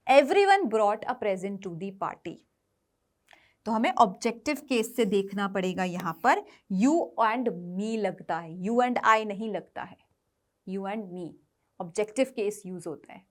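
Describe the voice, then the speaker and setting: A woman's voice: mid-range voice, animated, slow pace. A woman with an Indian accent giving an exam coaching lesson as an English grammar teacher, explaining by posing questions and answering them herself, switching between two languages.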